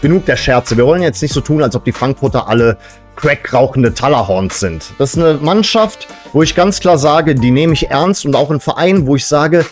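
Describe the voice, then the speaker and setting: Joking man over clearly audible music. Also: mid-range voice, darkish tone. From Serbian family background. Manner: tongue-in-cheek, joking asides. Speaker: host of a football fan entertainment show. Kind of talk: casual satirical monologue to camera.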